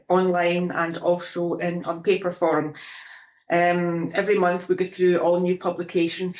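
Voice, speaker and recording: A woman's voice: on the low side, reverberant. A woman from the west coast of Scotland with a Scottish accent, a librarian listing her archive's resources. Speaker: local studies librarian. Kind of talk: informative podcast talk.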